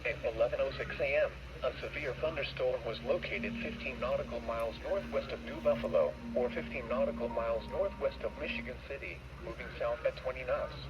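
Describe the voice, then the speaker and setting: Synthetic male weather-radio voice, hissy. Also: medium pitch. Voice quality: even, synthetic text-to-speech delivery. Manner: flat, measured, word-by-word reading. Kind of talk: automated weather broadcast reading. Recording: dull, hissy radio receiver recording.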